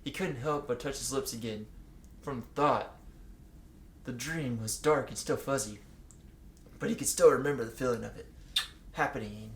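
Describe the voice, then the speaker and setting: A man's voice: medium pitch, moderate pace, pauses often. A man giving a casual read-aloud of fan fiction, slipping in joking asides. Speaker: co-reader reading fan fiction aloud with commentary. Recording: echoey room with faint background noise.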